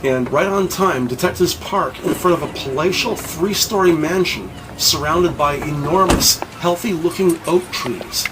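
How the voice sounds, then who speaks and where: High-pitched male drama narrator. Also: unhurried, few pauses, background noise. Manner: descriptive, formal reading. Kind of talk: scripted audio drama narration.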